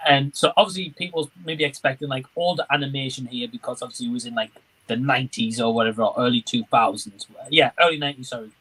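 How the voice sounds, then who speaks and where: A man's voice: medium pitch, fast talker, few pauses, pushed and bright, breathy edge, poor audio. A man hosting a livestream, chatting informally and agreeably, rambling a little and reading out comments. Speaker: livestream host. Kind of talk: casual livestream chat, reading out viewer comments.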